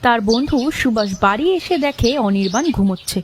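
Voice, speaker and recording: A woman's voice: fairly high pitch, fairly quick, a little hiss or hum. A woman narrating a scripted horror cartoon story.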